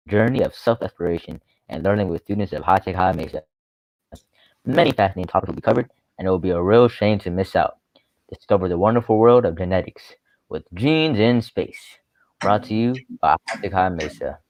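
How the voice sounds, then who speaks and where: A man with a very low voice works as a radio announcer. He delivers scripted patter, recorded close and dry. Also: a little fast, darkish tone, no echo, rough recording.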